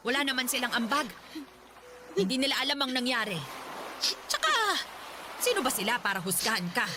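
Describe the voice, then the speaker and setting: A woman's voice: high voice, animated, fairly quick. An actress in a radio drama voicing a protective middle-aged mother with a fierce temper and a scolding manner. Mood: tender. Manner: scolding, sharp outbursts. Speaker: voice actor. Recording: noisy radio drama recording.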